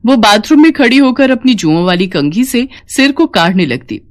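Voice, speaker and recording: A woman's voice: on the high side, sing-song, normal speed. A woman narrator reading a scripted moral story in a dramatic storytelling style.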